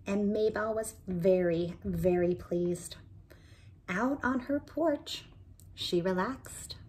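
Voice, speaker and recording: Woman teacher, 40s, slow lively read-aloud on a phone camera at home. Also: mid-range voice, a little hiss or hum. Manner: expressive, slow, talks to listeners as friends. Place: indoors, small room at home.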